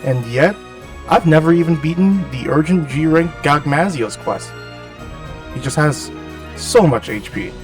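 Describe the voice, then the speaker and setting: A man with a cold and a nasal, slightly gravelly voice, giving chatty, self-deprecating voice-over commentary over gameplay. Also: fairly high pitch, far from the mic. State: has a cold. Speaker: gaming channel host.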